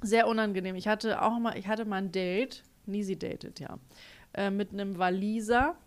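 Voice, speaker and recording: A woman in casual conversation, outspoken and expressive, with frequent fillers. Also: medium pitch, moderate pace. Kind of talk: casual chat.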